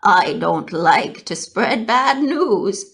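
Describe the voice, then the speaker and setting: Storybook old ewe, voiced by a woman: unhurried, unsentimental gossip, bluntly matter-of-fact. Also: mid-range voice, animated, a bit bright, exaggerated voice. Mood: blunt, grim.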